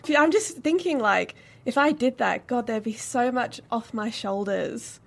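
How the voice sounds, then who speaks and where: A woman's voice: on the high side, lively intonation, a little slow, gravelly, fairly bright tone. A woman chatting casually and reflectively.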